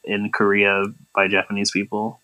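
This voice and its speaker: Sardonic man with a bright, forceful voice. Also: on the low side, monotone, normal speed, low-quality recording. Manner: sardonic, riffing. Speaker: podcast co-host. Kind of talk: casual comedic chat.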